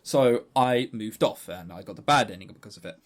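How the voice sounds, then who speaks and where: A man's voice: fairly low pitch, fairly quick. A man co-hosting a podcast, casually reviewing a game and retelling its story in a rambling, chatty way with stammering restarts. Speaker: podcast co-host.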